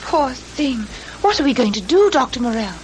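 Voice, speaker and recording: An actress playing a prim, spirited British assistant, earnest and easily flustered, in old-fashioned radio drama style. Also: female voice, high-pitched, very animated, normal speed, a little hiss or hum, rough recording. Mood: uneasy, earnest.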